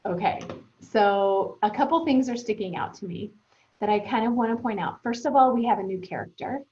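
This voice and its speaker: A woman teacher thinking aloud for young pupils in a conversational, expressive read-aloud lesson. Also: medium pitch, brisk. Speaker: elementary teacher. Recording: roomy, echoing, dull lo-fi sound.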